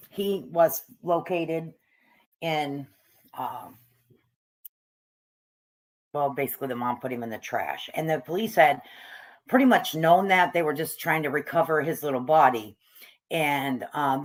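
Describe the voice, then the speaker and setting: A woman giving casual, opinionated commentary on video clips, with frequent hesitations and fillers. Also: low-pitched. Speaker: commentary YouTuber.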